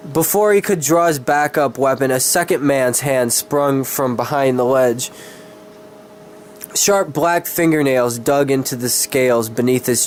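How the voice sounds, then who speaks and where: A man reads a fantasy tale in a dramatic amateur style, at a middle pitch. He is sick and often stumbles, dropping into casual, sweary asides. Faint noise sits on the recording. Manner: stumbling, tongue-tied, sweary. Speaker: amateur reader of his own fantasy story.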